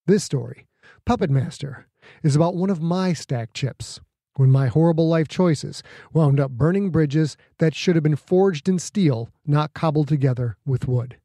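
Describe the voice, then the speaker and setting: A man reads a reflective, scripted note as an author. His voice is slightly high in pitch but very dark and mellow. The recording is dry and close. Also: a little slow, no echo.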